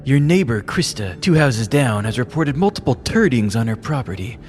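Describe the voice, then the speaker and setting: A man playing a dry, deadpan detective narrating in noir style in a comedy sketch. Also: mid-range voice, normal speed, natural voice. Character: deadpan middle-aged male detective.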